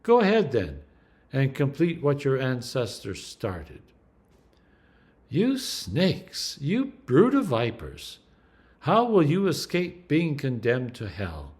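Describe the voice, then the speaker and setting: A man, an Anglican priest, reading scripture aloud in a slow, deliberate and emphatic way. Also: mid-range voice, huge pitch swings, darkish tone.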